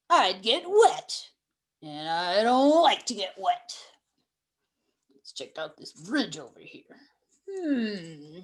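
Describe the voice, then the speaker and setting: Big grumpy troll played by a woman, slow drawl, lively swooping pitch, chuckling, storybook voice. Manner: drawling, folksy, chuckling to himself. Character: grumpy hungry male troll under a bridge.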